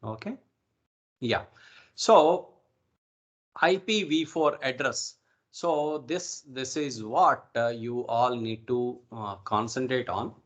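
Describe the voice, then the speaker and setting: A man's voice: medium pitch, takes it slowly, a bit bright. Indian-accented man teaching an online class. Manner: instructive, repeatedly checks with "okay" and "right". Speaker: instructor. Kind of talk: informal online networking lecture. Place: online video call recording.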